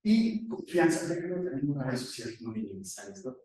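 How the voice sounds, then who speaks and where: A man's voice: mid-range voice, very animated, moderate pace, dark tone. A male academic economist giving an explanatory conference presentation, posing questions and answering them himself. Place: echoey conference session room. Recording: very echoey room, distant microphone.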